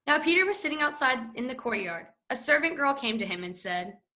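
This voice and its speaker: A woman narrating scripture in a church with a steady, careful reading. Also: sits high, brisk.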